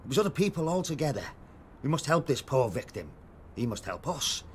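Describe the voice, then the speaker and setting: Man playing a pompous, animated activist. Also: mid-range voice, brisk, slight background noise, close to an everyday voice. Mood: firm, soothing. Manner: declamatory, rhetorical. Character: zealous, pompous male political activist. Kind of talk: radio drama acting.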